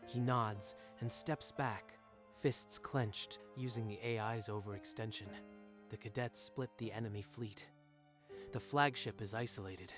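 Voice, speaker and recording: A man giving scripted, dramatic narration for a manga dub. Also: fairly low pitch. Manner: dramatic storytelling. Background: faint music.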